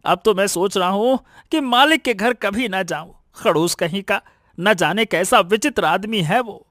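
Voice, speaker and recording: A man voicing a bright-voiced grumbling donkey. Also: high-pitched, normal speed, dry recording, studio quality. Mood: delighted, then grumbling. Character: carefree grumbling male donkey who resents his master. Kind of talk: animated Hindi moral-story dramatization.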